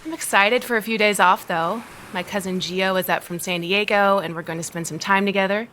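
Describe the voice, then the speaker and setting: A woman acts a caring married friend in an audio soap opera. She speaks earnestly and reassuringly at a middle pitch, with music clearly playing underneath. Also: fairly even intonation, brisk, sharp tone, lightly acted. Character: caring married woman, supportive confidante and colleague. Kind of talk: naturalistic soap opera dialogue. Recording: studio drama with music underneath.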